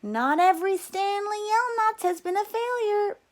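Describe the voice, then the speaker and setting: A woman's voice: very high voice, expressive, measured pace, pitched above the performer's own voice. A woman voicing an insistently upbeat mother in a storybook, with emphatic delivery. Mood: insistently hopeful.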